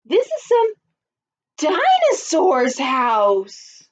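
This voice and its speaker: Woman playing a young storybook girl, sassy, startled, high-pitched, stretched-out exclamations, slightly muffled recording. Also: wildly swooping pitch, very slow, exaggerated voice. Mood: startled realization.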